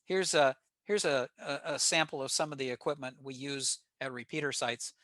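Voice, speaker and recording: A man's voice: medium pitch, normal speed, a bit bright, no echo, studio quality. An American man giving an informal technical slide presentation to a club. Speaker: presenter at a ham radio club meeting.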